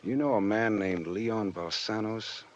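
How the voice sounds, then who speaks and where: Casting: man as hard-boiled American private eye, very low voice, wry and clipped, on dull old radio drama audio. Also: unhurried, natural voice. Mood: terse. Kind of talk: 1940s radio noir acting and narration. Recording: dull, noisy 1940s radio recording.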